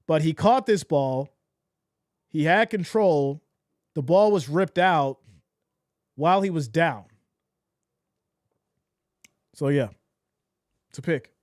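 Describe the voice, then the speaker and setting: Hoarse male fan commentator, high-ish voice, close dry mic, emotional live play calls. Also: slow pace, pauses often, no room sound. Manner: excitable, swings from shouting to deflated mutters. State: losing his voice. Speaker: streamer doing play-by-play.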